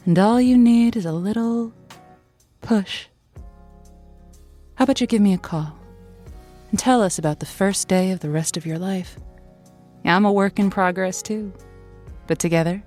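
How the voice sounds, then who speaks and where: An actress playing a wry, soothing host of a late-night radio call-in show, speaking in a deliberate, confiding way. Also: female voice, mid-range voice, mellow, natural voice. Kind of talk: scripted radio drama, intimate monologue and chat.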